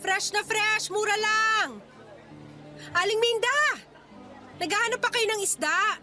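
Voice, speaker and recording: A woman playing a feisty young market fish vendor in a Tagalog radio drama, persuasive and quick to talk back. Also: extremely high pitch, sharp tone, faint music behind, some chatter behind, exaggerated voice. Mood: coaxing.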